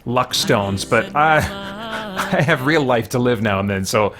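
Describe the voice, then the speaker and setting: A man's voice: medium pitch, big pitch swings, takes it slowly, music in the background. A man hosting a game review series, giving casual commentary in a conversational way, as if thinking aloud.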